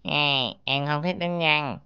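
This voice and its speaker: A woman's voice: low voice, steady tone, smooth, lo-fi sound. Mischievous whiny little cartoon boy. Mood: defiant. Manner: exclamatory, whiny, drawn-out grunts and cries. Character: mischievous, grubby little boy pencil, stubborn and playful. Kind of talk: children's animated cartoon dubbing.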